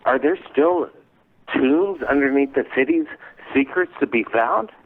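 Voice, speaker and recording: Excited male radio host on a phone line. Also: medium pitch, a little slow. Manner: rambling, excited questioning. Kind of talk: radio interview.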